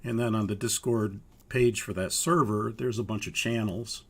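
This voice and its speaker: An older man with a hoarse, raspy voice from a cold leads an online pilot course. His voice sits low and gravelly. He explains things haltingly and fills his pauses with "uh.". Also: fairly even intonation, fairly bright tone. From Minnesota, US. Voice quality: gravelly, husky. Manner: halting, with frequent uhs. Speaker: flight training instructor. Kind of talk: live online course walkthrough.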